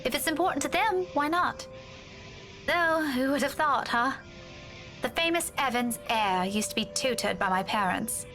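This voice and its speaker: Earnest young female game character, gently coaxing, conversational, higher pitch, faint music behind. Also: expressive, normal speed. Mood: earnest, persuading. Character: earnest, dutiful young woman, gently persuasive friend. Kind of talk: visual novel game voice acting.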